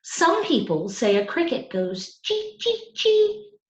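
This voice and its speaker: A woman's voice: extremely high pitch, big pitch swings, slow pace, echoey room, voice pushed up, full character voice. A woman voicing a timid, earnest young male cricket from the country in a storybook reading, with a hesitant manner. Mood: earnest, explaining.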